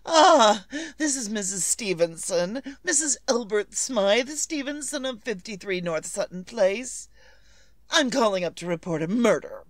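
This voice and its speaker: An actress playing a nervous, demanding, well-to-do invalid wife in old-time radio suspense style, imperious and melodramatic. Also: female voice, mid-range voice, expressive, measured pace, no echo, lightly acted. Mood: agitated, urgent.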